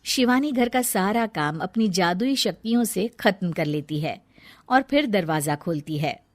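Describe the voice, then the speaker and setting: A woman's voice: mid-range voice, lively intonation, a little slow. A woman narrating a scripted children's story as a storyteller.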